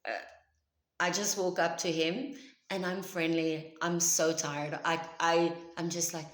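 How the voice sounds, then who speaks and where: A woman with a South African accent, Afrikaans by birth, tells her story to camera in a loud, emphatic, chatty voice. Her voice sits fairly low, and the room gives it a noticeable echo. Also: little pitch movement, measured pace. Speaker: vlogger. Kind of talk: personal story told to camera.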